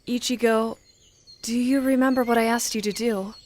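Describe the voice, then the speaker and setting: A woman's voice: a little high, steady tone, normal speed, a little hiss or hum, natural voice. A woman playing a composed, stern warrior with a curt, matter-of-fact way of talking in an anime fan audio drama. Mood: composed, serious.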